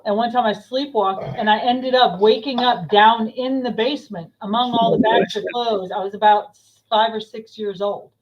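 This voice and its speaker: A woman's voice: on the high side, fairly even intonation, moderate pace, highs cut off. A woman from New Jersey, a paranormal investigator guest, telling stories earnestly in casual chat.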